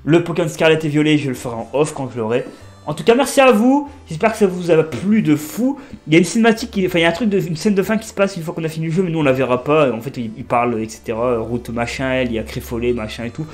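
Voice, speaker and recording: A man with a blocked nose doing casual, excitable let's play commentary as a gaming creator. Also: fast, soft background music. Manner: excitable, pitch jumping up on exclamations.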